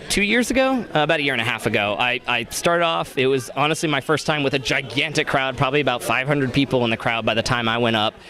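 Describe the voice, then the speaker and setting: A man's voice: mid-range voice, fairly bright tone. Young man chatting casually in an interview. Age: young adult. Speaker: convention DJ and interview guest.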